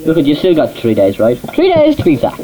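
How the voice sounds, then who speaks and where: A man's voice: a little high, huge pitch swings, brisk, over a noisy crowd, low-quality recording. A man hosting a rock radio show, with crude, mocking banter and frequent laughter.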